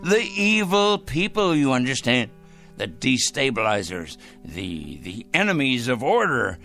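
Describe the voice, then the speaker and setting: An actor playing a fanatical, self-righteous crank in a radio drama, fussy and excitable, repeating himself emphatically. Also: male voice, medium pitch, unhurried, faint music behind, exaggerated voice. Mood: gloating, fervent. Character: fanatical, self-righteous male crank obsessed with punishing evil.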